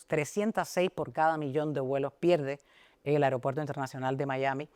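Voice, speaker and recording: A woman hosting a talk show, interviewing a guest in a fluent, chatty manner and quickly prompting him. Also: extremely low pitch, fairly even intonation, darkish tone.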